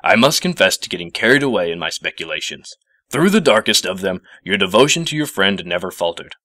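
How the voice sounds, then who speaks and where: A man voicing a stiff male professor pony with a gruff, formal, slightly sheepish manner in a storybook reading. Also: deep voice, very animated, fairly quick, pushed and bright, no echo, studio quality, heavily acted. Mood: contrite, formal.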